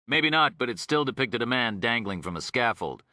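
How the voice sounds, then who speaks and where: A man's voice: mid-range voice, expressive, moderate pace, sharp tone, dry recording, studio quality, pitched above the performer's own voice. Man as curt, impatient detective. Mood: brooding. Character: brusque, impatient male criminalist detective, blunt and driven.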